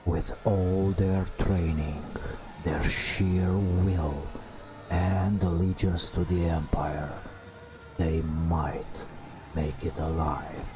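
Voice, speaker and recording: A man softly narrating a video game as an ASMR bedtime story, hushed and drawn out, with long pauses. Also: low-pitched, little pitch movement. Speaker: host narrating gameplay. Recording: music behind the voice. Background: game music.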